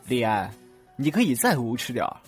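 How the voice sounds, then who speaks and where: An actor playing a good-natured, lovestruck young boyfriend with a mock-dramatic manner in a Mandarin radio drama. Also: male voice, medium pitch, big pitch swings, brisk, soft background music, lightly acted. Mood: mock-suffering. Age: young adult.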